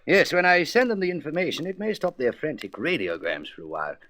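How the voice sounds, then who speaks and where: A man's voice: a little high, sing-song, fast, pushed and bright. A man playing a courteous, grave president of a space council in a radio serial, formal and emphatic. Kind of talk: vintage science-fiction radio serial acting.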